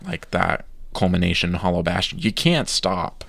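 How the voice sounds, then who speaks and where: Low gravelly guy on a podcast, dry close mic, opinionated and stop-start. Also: sing-song, fairly bright tone, no echo. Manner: opinionated, halting, many restarts. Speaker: podcast co-host. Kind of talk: casual chat.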